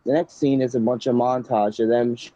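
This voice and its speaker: A man's voice: mid-range voice, little pitch movement, brisk, mellow, no room sound, lo-fi sound. Stumbling young man reading aloud. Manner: stumbling, restarting read-aloud with laughs. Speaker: host reading a story aloud. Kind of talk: casual storytime reading with commentary.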